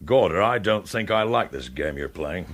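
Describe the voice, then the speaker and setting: A man's voice: low voice, lively intonation, moderate pace. An actor playing a blunt, distrustful lawman giving curt orders in a radio thriller. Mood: irritated.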